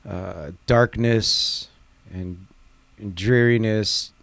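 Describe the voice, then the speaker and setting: A man in a band giving a casual interview, halting and tongue-in-cheek. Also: fairly low pitch, steady tone, gravelly, a bit bright, no echo. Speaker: band member being interviewed.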